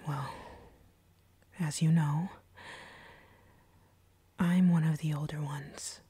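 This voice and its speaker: A woman playing an ancient vampire captor, stern but softening, in a breathy, husky whisper for an intimate audio roleplay. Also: deep voice, no echo, exaggerated voice. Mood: hushed, confiding. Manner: slow, deliberate, hushed.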